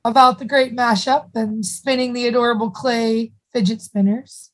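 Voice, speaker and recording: A woman's voice: on the high side, fairly even intonation, normal speed, lightly acted. An actress playing a saccharine, pushy sitcom mother, gushing and primly chiding in a comedic radio play.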